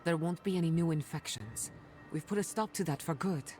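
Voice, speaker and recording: Actress as imperial envoy: deep for a woman, measured and resolute, game dialogue. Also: dark tone, close to an everyday voice. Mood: calm, firm. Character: calm, resolute female envoy of an empire. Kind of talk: video game cutscene dialogue.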